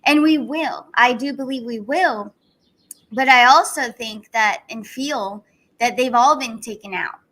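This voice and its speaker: A woman's voice: on the high side, a little slow, fairly bright tone, rough recording. A woman hosting her own channel, talking in a chatty, rambling way with many fillers. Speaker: channel host. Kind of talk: casual commentary.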